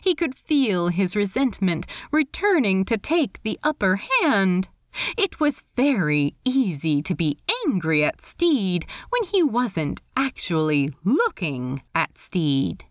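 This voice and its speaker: A woman reads fiction aloud in an expressive storytelling style. Her pitch moves widely and animatedly while her pace stays slow. The sound is dry, with little room around it. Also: dry recording. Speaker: fan fiction reader. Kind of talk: expressive scripted audiobook narration.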